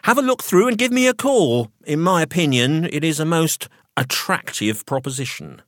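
Man acting a pompous, officious provincial solicitor, raised pitch. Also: animated, moderate pace, a bit bright, no echo, clean studio sound. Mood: brisk, officious. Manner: stammering, pompous, formal. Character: officious, sweaty, impatient male provincial solicitor. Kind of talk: audiobook character dialogue.

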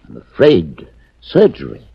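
An actor playing a deranged, grandiose surgeon in a 1940s radio drama, with florid, theatrical courtesy and menace. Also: male voice, on the low side, wildly swooping pitch, a little slow, gravelly, a little hiss or hum, exaggerated voice. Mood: menacingly courteous. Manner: florid, theatrical, pompous diction. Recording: muffled 1940s radio recording.

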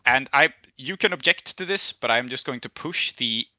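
A man's voice: medium pitch, expressive, normal speed, bright, forceful tone, highs cut off, slight background noise. A man acting as game master, narrating casually and informally to the players, with frequent affirmations and some swearing.

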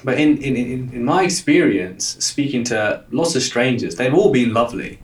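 A man's voice: medium pitch, lively intonation, moderate pace. Stuttering young man, roomy and distant. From lives in London. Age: young adult. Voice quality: stutter, frequent word repetitions. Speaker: guest, life coach. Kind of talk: casual interview talk.

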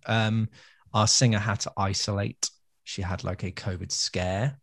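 A man with a fairly low voice co-hosts a casual podcast. He chats easily and stops to explain things for listeners. The sound is dry and close to the mic. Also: fairly even intonation, unhurried, no room sound. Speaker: podcast co-host. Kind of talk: casual chat.

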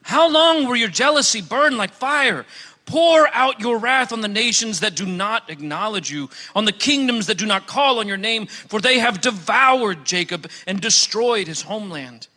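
A man's voice: high-pitched, animated, moderate pace, pushed and bright. A man reading scripture aloud in a solemn, formal style. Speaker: scripture reader. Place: large hall, church service. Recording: echoey hall with long reverb.

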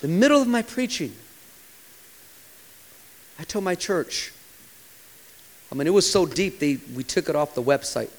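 A man's voice: on the high side, big pitch swings, unhurried, pauses often. Impassioned pastor in his forties. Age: 40s. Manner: impassioned preaching, sometimes dropping to a quiet, hushed delivery. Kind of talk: sermon.

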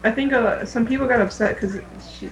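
A woman's voice: a little high, a little fast, crowd noise, rough recording. A woman chatting informally as a podcast co-host in an offhand, conversational way. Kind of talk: casual chat.

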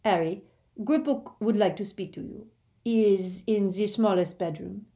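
A woman's voice: medium pitch, measured pace, dark tone, voice pushed up, close to an everyday voice. Woman playing an irritable, formal young woman. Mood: irritated.

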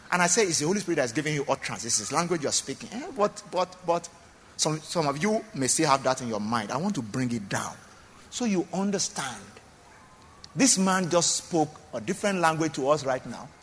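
A man's voice: a little high, sharp tone, in a large hall. A man preaching a sermon as pastor in a church, emphatic, often repeating phrases and asking the congregation questions.

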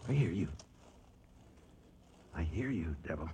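An actor playing an exhausted, frightened lone hunter in a radio drama monologue, muttering in broken, repeated fragments. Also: male voice, low voice, expressive, measured pace, dark tone. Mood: fearful, stalked. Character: exhausted, terrified lone hunter stalking a lion at night. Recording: noisy old radio drama recording.

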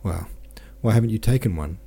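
A man voicing a stern, short-tempered male cavalry commander who scolds gruffly. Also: lowish voice, brisk, warm tone. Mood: scolding.

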